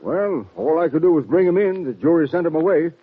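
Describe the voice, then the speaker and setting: An actor playing an agreeable small-town sheriff in an old Western radio drama, with rustic cowboy talk. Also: male voice, fairly high pitch, animated, fairly quick, slightly rough. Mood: folksy, cooperative. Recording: tinny, narrow vintage radio recording.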